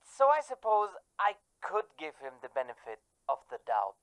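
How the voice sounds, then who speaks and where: A woman playing an energetic, folksy, opinionated man who phones in to a radio drama's show. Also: deep voice, normal speed, clean tone.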